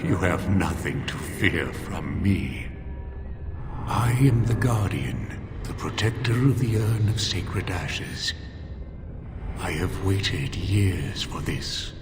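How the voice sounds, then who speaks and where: A man's voice: low-pitched, expressive, slow pace, over music. A man playing a solemn, ageless guardian of a holy relic in a video game, speaking in a stately, formal way. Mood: solemn welcome. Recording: echoey, with clear background ambient noise.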